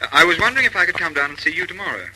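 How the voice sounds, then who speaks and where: A man playing a brisk, affable British air force officer in a radio drama, clipped and understated. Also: on the high side, sing-song, noisy background. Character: brisk, affable male military officer, a group captain. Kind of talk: mid-century British radio drama acting.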